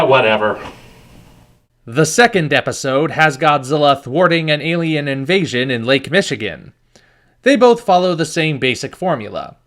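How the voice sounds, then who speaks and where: Male review host, fairly high voice, scripted narration, wry dry asides. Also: a bit bright.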